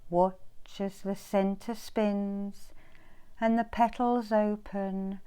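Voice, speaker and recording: A woman leading a guided meditation as a teacher, giving gentle, soothing instructions with repeated phrases and pauses. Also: mid-range voice, level tone, smooth.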